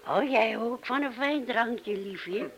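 Radio drama: tipsy, garrulous old woman, high-pitched, drawn-out delivery, rambles and repeats herself. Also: crowd noise. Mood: chatty, rambling. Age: older. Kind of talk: radio play acting.

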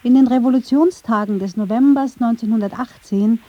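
A woman's voice: on the high side, brisk, mellow, dry recording, muffled recording. A woman reading scripted narration for a radio documentary.

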